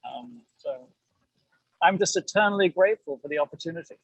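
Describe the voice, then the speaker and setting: A man's voice: a little high, expressive, a little fast, creaky, slightly rough, no room sound, highs cut off. An older man from England with a British accent, chatting reflectively as an interview guest in a hedging, self-deprecating way. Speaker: amateur actor, interview guest.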